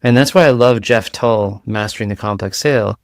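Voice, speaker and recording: A man with a light voice, a guest in a casual interview, talking in rapid-fire analogies with frequent restarts. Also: lowish voice, no room sound, clean studio sound.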